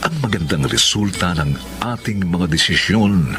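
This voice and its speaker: A male radio narrator reading a solemn closing message and the cast credits in a measured way. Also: deep voice, animated. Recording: radio broadcast with loud music.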